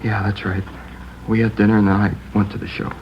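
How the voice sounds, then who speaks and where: An actor playing a shaken, halting teenage boy in an old radio crime drama. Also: fairly low pitch, level tone, vocal fry. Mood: subdued. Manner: halting, upset, plain-spoken. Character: shaken teenage boy whose mother was killed. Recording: muffled, noisy old radio recording.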